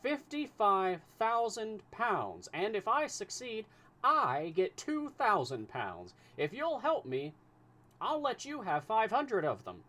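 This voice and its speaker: Scheming male detective, pitched well up, slow and emphatic, loud and pressing, read by a man. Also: huge pitch swings, heavily acted. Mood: urgent. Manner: urgent, emphatic, drawn-out. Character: scheming middle-aged male detective, intense.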